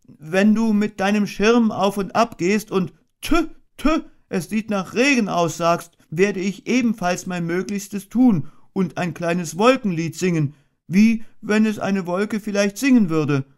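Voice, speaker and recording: A man putting on a high voice for a slow, earnest, muddled little teddy bear in a storybook reading. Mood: fussy. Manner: slow, earnest, ponderous, sing-song.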